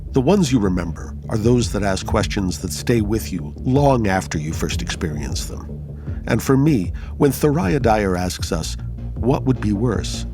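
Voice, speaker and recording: A man hosting a story anthology, delivering a scripted, dramatic introduction. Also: on the low side. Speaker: anthology host. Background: music.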